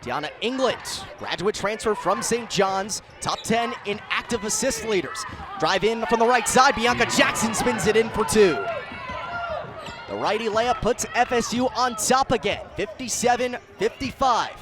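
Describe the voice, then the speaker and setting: A man in his twenties calling live sports play-by-play in energetic, clipped phrases. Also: high voice, moderate pace. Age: 20s. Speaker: play-by-play announcer. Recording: busy game crowd behind. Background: sports crowd and court noise.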